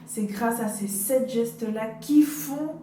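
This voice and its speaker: A woman in her late twenties talks like a beauty vlogger, chatty and personal, speaking straight to her viewers. Her voice is soft-edged and mellow. The recording is roomy and picked up at some distance from the mic, with faint noise behind her. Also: on the high side, slow. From Dominican Republic. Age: late 20s. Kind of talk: casual advice to camera. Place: indoors, hotel.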